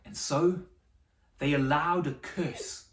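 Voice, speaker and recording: Storyteller for a children's Bible tale, pausing and repeating for drama, heard from a distance in a roomy space. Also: mid-range voice. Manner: dramatic pauses and repetition for emphasis. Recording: echoey room, distant microphone.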